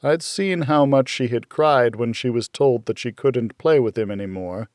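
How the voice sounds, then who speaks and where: A man reading first-person fiction as an audiobook narrator, in a measured, dramatic storytelling manner. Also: mid-range voice, darkish tone, no echo, clean studio sound.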